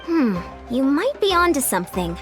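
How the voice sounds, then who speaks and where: A woman's voice: high voice, very animated, moderate pace. A woman voicing a bubbly, confident young female athlete in a video game, full of exclamations. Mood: curious. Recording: loud music behind voice.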